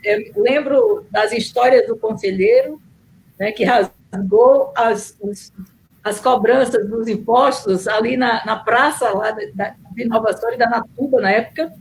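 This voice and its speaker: A middle-aged woman, a guest on an interview who talks warmly about her past with many small pauses. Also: a little high, poor audio. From Bahia, Brazil. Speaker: guest, nurse and city councillor. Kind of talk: casual interview chat.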